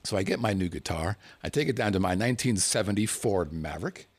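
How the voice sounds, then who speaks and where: A middle-aged man from the United States spins a comic yarn about his own past, swearing freely and chuckling along the way. His pitch swoops up and down, giving the story an animated, lively feel. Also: fast. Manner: animated, profane, laughs through his story.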